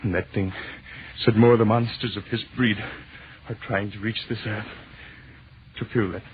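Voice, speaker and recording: A man's voice: on the low side, fairly even intonation, moderate pace, lightly acted. An actor playing an anguished, desperate husband trying to save his wife in a melodramatic old radio drama. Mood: shaken, horrified. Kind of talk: 1940s radio drama acting. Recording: muffled, noisy old radio recording.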